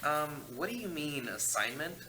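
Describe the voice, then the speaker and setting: A man's voice: on the high side, sing-song, moderate pace. Man acting sarcastic ghost guy, exasperated, swooping intonation, noisy room. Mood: irritated. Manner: snarky, exasperated. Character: sarcastic, negative, irritable dead man. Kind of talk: screenplay table read.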